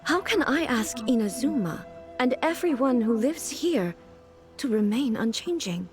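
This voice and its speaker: A woman voicing a dignified immortal ruler in a video game, speaking in a measured, formal, thoughtful way. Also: on the high side, expressive, soft background music. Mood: solemn, reflective.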